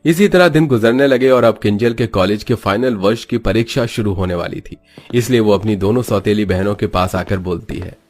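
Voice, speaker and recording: Low-voiced male storyteller over music. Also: normal speed, a little mellow. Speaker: storyteller narrator. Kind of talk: scripted moral-story narration in Hindi. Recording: music bed behind voice.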